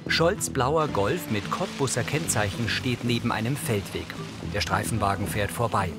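A man's voice: fairly low pitch, moderate pace. Matter-of-fact male narrator over music. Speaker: audio description narrator. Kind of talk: scripted audio description narration.

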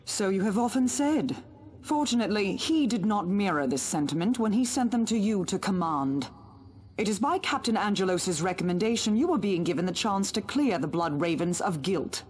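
A woman playing an imperious, threatening Inquisitor in a video game, speaking in a measured, formal way. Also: mid-range voice, lively intonation, darkish tone, natural voice. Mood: cold, formal. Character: stern, cold female Inquisitor, imperious and threatening. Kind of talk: dramatic video game cutscene acting.

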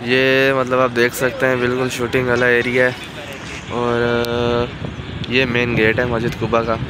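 A man's voice: mid-range voice, monotone, a little slow, background noise. A young man filming a casual travel vlog on a phone held at arm's length, walking around a mosque and repeating words of awe. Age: young adult. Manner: warm and awed, repeating blessings. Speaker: travel vlogger. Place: outdoors at a large mosque, then inside its hall. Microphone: handheld phone camera at arm's length.

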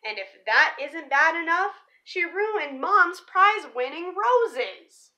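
A young woman presenting to children in an expressive storybook read-aloud style, stressing key words like a teacher. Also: very high voice, slow. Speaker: teacher reading a picture book aloud for children. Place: small living room or room at home, indoors.

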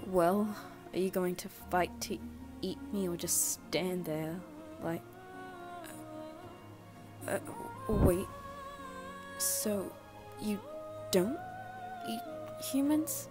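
A woman voicing a wary, easily flustered teenage boy in an anime ASMR roleplay, with halting, stammering speech. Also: on the low side. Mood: defiant. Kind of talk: ASMR roleplay acted to the listener. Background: background music.

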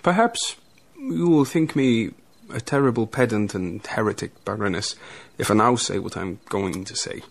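An actor in a radio drama playing a courteous, pedantic down-and-out stage actor, deliberate and theatrical. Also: male voice, fairly low pitch, expressive, vocal fry. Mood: grandly earnest. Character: pedantic, theatrical down-and-out male actor, a wandering guest.

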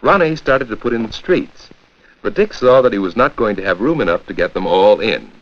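An American man narrating a 1940s educational film from a script, with a clear, measured storytelling delivery. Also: on the low side, lively intonation. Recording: dull, lo-fi 1940s 16mm film soundtrack.

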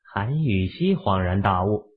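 Very husky male audiobook narrator. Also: on the low side, expressive, unhurried. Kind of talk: scripted fiction narration. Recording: phone-like, band-limited audio.

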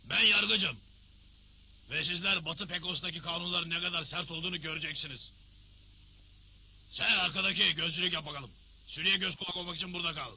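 A man's voice: sits high, brisk, long pauses, bright, forceful tone, slight background noise, full character voice, low-quality recording. A man playing a domineering older Old West judge in a cartoon dub, blustering and theatrical as he revels in unfair law. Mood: stern, threatening. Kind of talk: dubbed cartoon acting.

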